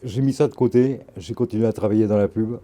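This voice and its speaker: An older man from Normandy, in his sixties, talks in a low, dark voice. He rambles through anecdotes in loose slang, restarting and circling back as he goes. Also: quick pace. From Normandy, France. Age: 60s. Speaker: tattoo artist and photographer. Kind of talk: casual interview, storytelling.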